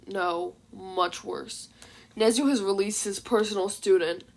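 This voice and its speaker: A woman voicing a tired, grumpy male teacher in a fan fiction reading, with a curt, deadpan delivery. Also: mid-range voice, unhurried, vocal fry, voice pushed down. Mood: resigned. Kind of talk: fan fiction audiobook character voice.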